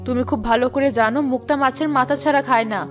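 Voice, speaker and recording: A woman voicing a doting, protective middle-aged mother in an animated moral story, with an insistent, motherly manner. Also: high voice, racing, soft background music, lightly acted. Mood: insistent, indignant.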